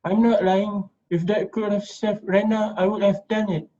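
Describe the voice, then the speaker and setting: A man's voice: sits high, little pitch movement, normal speed, warm tone, a little hiss or hum, natural voice. A man playing an earnest, pleading student willing to take the blame, in an amateur reader's theater drama. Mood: pleading, accusing. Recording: dull lo-fi recording.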